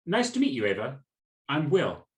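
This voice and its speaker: A man's voice: medium pitch, wildly swooping pitch, moderate pace. A man acting in a radio play as a polite, hesitant, heartbroken customer. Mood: shyly hopeful. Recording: roomy echo on the voice.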